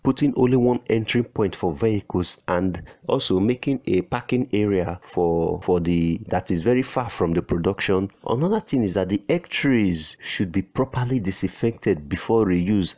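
Male farmer, lowish voice, measured instructional voice-over with hesitations. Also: darkish tone. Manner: slow and deliberate, with fillers and restarts. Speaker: poultry farmer.

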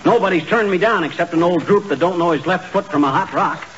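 A man playing an excitable, blustering braggart husband in a 1940s radio sitcom, talking in fast boastful patter full of tongue-twisting slips. The sound is a dull, noisy old radio broadcast. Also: high voice. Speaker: lead comic character.

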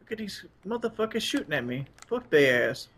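A man's voice: sits high, big pitch swings, a little fast, fairly bright tone, a little hiss or hum. A man chatting casually and a bit crudely while playing a co-op video game. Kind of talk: casual co-op gameplay chat.

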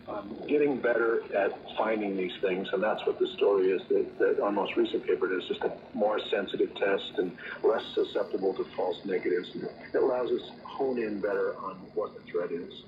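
A man's voice: lowish voice, fairly even intonation, normal speed. A man explaining things in a radio interview as a researcher. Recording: dull AM radio with faint noise.

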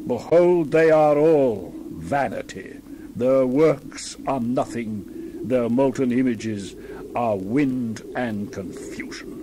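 A man's voice: mid-range voice, slow, noisy background. A man giving a dramatized Bible reading as narrator, solemn and declamatory with dramatic emphasis.

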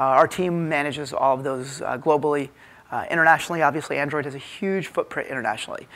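A man's voice: on the high side, fairly bright tone. An American man in his thirties giving an informal conference keynote with frequent hesitations. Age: 30s. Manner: halting, filler-heavy, informal delivery. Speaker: keynote speaker, tech executive. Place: hotel conference ballroom stage, indoors. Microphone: lapel mic.

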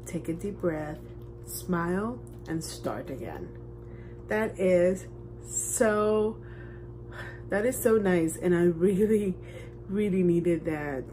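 A woman in her forties chatting casually for a vlog, pausing often between short phrases, recorded on a phone indoors at home. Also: on the low side, dark tone, poor audio. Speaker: vlogger, host. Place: small rooms at home, indoors. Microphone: phone at arm's length. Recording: noticeable background room noise.

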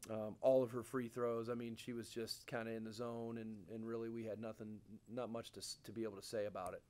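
Middle aged guy, college hoops coach doing postgame presser, pretty flat delivery, normal pitch. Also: fairly quick. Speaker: college basketball head coach. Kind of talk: post-game press conference answers. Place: indoor press conference room, seated at table.

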